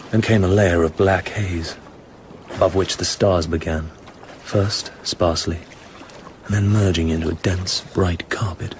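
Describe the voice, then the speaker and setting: An actor playing a cool, suave British secret agent in a radio drama, understated and brooding. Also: male voice, low-pitched, steady tone, unhurried, slight background noise.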